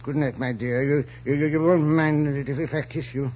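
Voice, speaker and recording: An actor playing a stuffy, hesitant older suitor with a creaky, husky voice in a 1940s radio drama. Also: male voice, on the high side, steady tone, fairly quick, a little mellow, slight background noise, natural voice. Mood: hesitant, fond. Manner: hesitant, stammering, fussy. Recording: dull 1940s radio recording.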